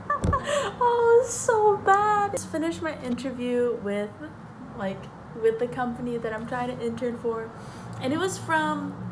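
Chatty young woman, kinda high voice, rambling vlog into her phone, faint hiss. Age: young adult. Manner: bubbly, chatty, lots of fillers, laughs often. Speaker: vlogger. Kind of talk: casual vlog chat. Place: small bedroom, then parked car. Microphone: phone camera at arm's length.

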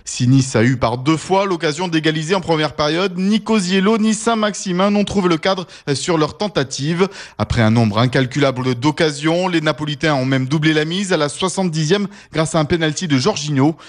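A man's voice: fairly high pitch, brisk. A man reading a scripted match report as a radio sports reporter.

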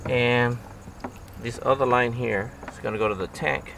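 A man's voice: medium pitch, fairly even intonation, measured pace, vocal fry, fairly bright tone, slight background noise. A man giving a casual step-by-step how-to on his boat outdoors, talking plainly with fillers. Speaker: DIY boat video host.

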